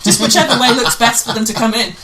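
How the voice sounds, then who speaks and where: Woman, chatty interview guest, rapid delivery, mid pitch, clear background noise. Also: steady tone, fairly bright tone. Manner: chatty, adds tag questions. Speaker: guest, amateur pantomime director. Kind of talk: casual chat.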